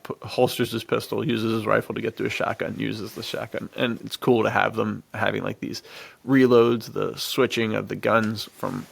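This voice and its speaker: A man's voice: on the low side, little pitch movement, normal speed, vocal fry, breathy edge. A man co-hosting a podcast, chatting casually and enthusiastically about action movies in a rambling way. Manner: enthusiastic, rambling, chuckling often. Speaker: podcast co-host.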